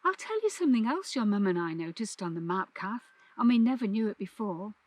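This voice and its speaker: A woman's voice: medium pitch, very animated, fairly quick, dry recording. Woman reading as a reassuring, practical dad. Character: kindly, practical father explaining things to his children.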